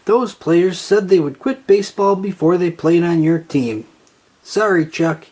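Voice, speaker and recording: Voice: mid-range voice, measured pace, a little mellow, a little hiss or hum, voice pushed up, natural voice. A narrator voicing a blunt, confident tomboy girl in a children's storybook, with a breezy manner.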